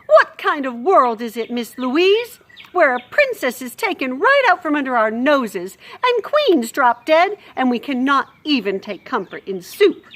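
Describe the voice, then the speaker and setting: A woman voicing a ferocious, mean-hearted cook who wails and shouts in slow, drawn-out bursts. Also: high voice, big pitch swings, voice pushed up, exaggerated voice. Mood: distraught.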